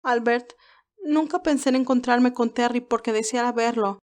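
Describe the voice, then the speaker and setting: A woman's voice: a little high, moderate pace, darkish tone, voice pushed up, close to an everyday voice. An earnest young nurse, played by a woman narrator in an audio romance drama. She sounds naive and remorseful, close to tears as she explains herself in a confessional way.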